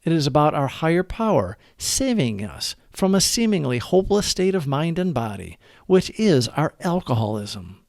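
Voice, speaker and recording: A man giving an earnest, deliberate read-aloud of a scripted recovery meditation as its narrator. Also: on the high side, big pitch swings, vocal fry, darkish tone, no room sound, studio quality.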